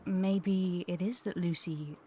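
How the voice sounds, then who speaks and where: A woman with a slightly low voice talks reflectively and articulately in a discussion, as a guest would. There is faint noise behind her. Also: fairly even intonation, slow, mellow. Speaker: podcast guest. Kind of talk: thoughtful conversational discussion.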